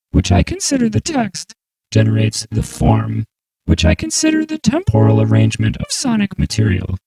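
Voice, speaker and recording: Vocoder-processed narrator, gravelly and buzzing, slow over-enunciated scripted explanation of electronic composition. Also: dark tone, rough recording. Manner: slow, exaggerated, very proper enunciation. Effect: vocoder, randomly shifting synthesizer pitch and chords. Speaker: composer narrating own piece.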